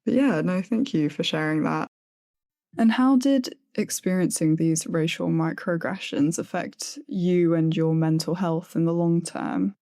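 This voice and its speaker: A woman's voice: on the low side, a little slow, dark tone. A woman hosting a podcast, asking questions in a conversational interview style.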